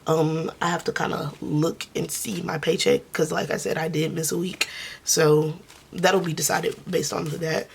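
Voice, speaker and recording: A woman who is losing her voice speaks softly and casually, counting money aloud in a budgeting vlog. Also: little pitch movement, measured pace, vocal fry, breathy edge. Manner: soft, low-key, counting under her breath. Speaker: budgeting vlogger.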